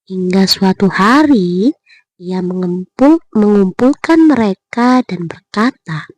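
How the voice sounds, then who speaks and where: A woman narrates a bedtime fairy tale as a storyteller. She takes her time, phrasing each part deliberately and expressively, in a close, dry recording. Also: mid-range voice, animated, a little mellow, no echo.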